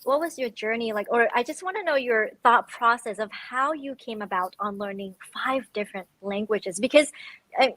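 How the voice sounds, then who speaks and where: A woman's voice: a little high, normal speed, a bit bright, no echo, low-quality recording. A woman hosting an interview, asking long eager questions with restarts. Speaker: host.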